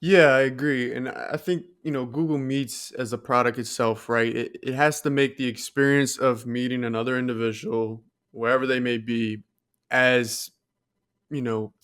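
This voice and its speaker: A man's voice: medium pitch, fairly bright tone, clear, resonant tone. An American man co-hosting a podcast, explaining his views on tech in a casual, earnest way. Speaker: podcast co-host.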